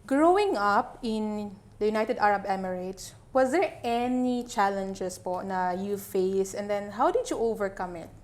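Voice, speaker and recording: Woman, young adult, from the Philippines, show host, polite interviewer, medium pitch. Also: animated, unhurried, hardly any pauses, darkish tone. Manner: mixes Tagalog and English, polite po. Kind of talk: interview questions.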